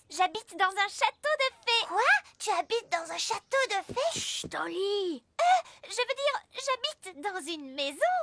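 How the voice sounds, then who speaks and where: A woman's voice: extremely high pitch, bright, forceful tone, full character voice. A woman voicing a small, eager, innocent child of a fairy world in a French children's cartoon. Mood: chatty, curious. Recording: music behind the voice.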